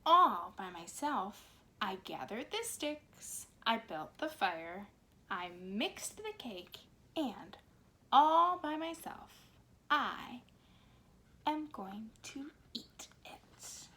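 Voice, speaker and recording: A woman voicing a determined, self-reliant little red hen from a storybook, in emphatic, drawn-out declarations. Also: a little high, sing-song, pushed and bright, exaggerated voice. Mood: proud, firm.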